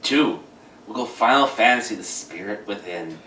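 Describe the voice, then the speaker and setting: A man's voice: fairly low pitch, lively intonation, normal speed, pushed and bright. A man on a panel talking casually and off the cuff. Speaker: podcast panelist. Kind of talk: casual, off-the-cuff group chat. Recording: echoey room, distant microphone.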